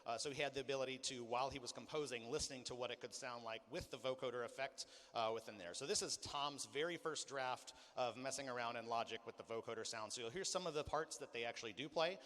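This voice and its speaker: Male clinic presenter, mid-pitched and rapid, informal explainer delivery, recorded in a big echoing hall. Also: fairly bright tone. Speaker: music coordinator and sound designer giving a clinic. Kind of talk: spoken clinic presentation with demonstrations. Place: large hall, live clinic performance. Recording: long hall reverb.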